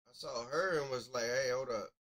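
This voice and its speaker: A man's voice: medium pitch, moderate pace, a bit bright. A man chatting casually as he hosts a live music review stream, with a blunt, slangy, complaining way of talking.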